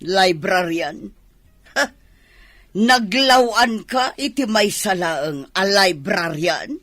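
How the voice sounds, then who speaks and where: Haughty middle-aged Ilocano mother, played by a woman, scornful and forceful, unhurried delivery, radio drama. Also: medium pitch, animated, dry recording, studio quality.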